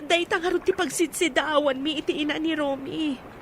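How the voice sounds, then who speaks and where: A woman's voice: high-pitched, fairly even intonation, moderate pace, slight background noise, close to an everyday voice. A woman with an Ilocano accent playing an earnest, worried young woman explaining grave matters to her elders in a radio drama. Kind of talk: Filipino radio drama acting.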